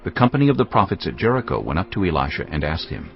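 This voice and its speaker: Very deep, gravelly male narrator. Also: big pitch swings, rapid-fire. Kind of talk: scripted dramatic scripture narration. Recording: dull lo-fi sound, music underneath. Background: faint music.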